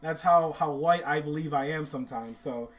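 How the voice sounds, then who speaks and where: A man's voice: on the high side, moderate pace. A man talking as a podcast guest, telling stories in a playful, rambling, self-mocking way. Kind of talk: casual storytelling chat.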